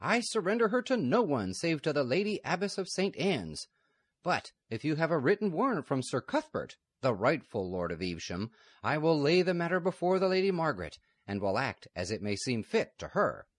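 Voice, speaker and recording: A man's voice: on the high side, very animated, normal speed, no echo, voice pushed up. A man voicing a stern, dignified older abbess of a convent, firm and solemn, in a raised voice, with no room sound.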